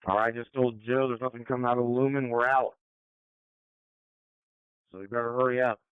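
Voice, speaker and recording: A man's voice: medium pitch, little pitch movement, fairly quick, clean tone, dry recording. A man speaking in a plain, directive way over a police two-way radio. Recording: dry phone-like scanner radio.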